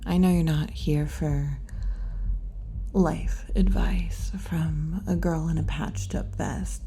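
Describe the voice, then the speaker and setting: A woman voicing a brash yet kindly tomboy wolf girl bartender in an intimate, unhurried ASMR roleplay, often dropping toward a whisper. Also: sits low, dark tone, a little hiss or hum. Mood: frank, musing. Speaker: bartender character in audio roleplay.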